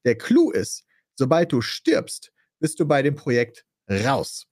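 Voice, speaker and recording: A young man co-hosting a casual podcast, calmly and methodically explaining a game format. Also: medium pitch, slow, dry recording, studio quality. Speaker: podcast co-host.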